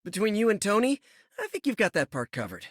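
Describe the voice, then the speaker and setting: An eager young superhero in a video game. His voice is high and very animated, chatty with self-deprecating jokes. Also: fairly quick, breathy edge, dry recording, studio quality, full character voice. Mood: wry.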